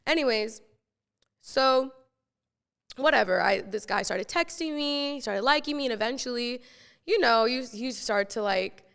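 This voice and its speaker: A woman's voice: a little high, measured pace, vocal fry, a bit bright, clean tone, big-room sound. A young woman, hosting a podcast and telling stories from her own life in a chatty, slangy way full of fillers. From San Francisco Bay Area. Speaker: podcast host. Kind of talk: casual storytelling.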